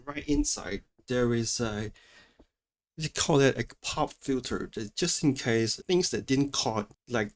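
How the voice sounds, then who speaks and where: A man's voice: mid-range voice, a little mellow. A man presenting an audio tutorial in a casual, explanatory way, repeating himself for emphasis, recorded on a studio microphone in a home room. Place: small untreated home room with furniture. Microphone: close studio microphones, condenser then dynamic.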